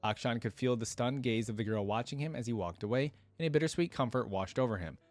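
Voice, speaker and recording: A man's voice: mid-range voice, fast, darkish tone, no room sound, faint music behind. A man giving casual, enthusiastic, slangy reaction commentary and reading a story aloud as host.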